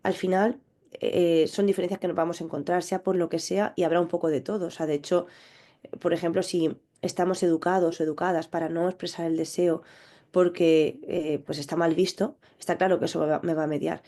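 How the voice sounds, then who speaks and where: A woman sexologist answering interview questions, explaining in a measured, thoughtful way with small restarts. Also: fairly low pitch, level tone, dark tone. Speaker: clinical sexologist guest.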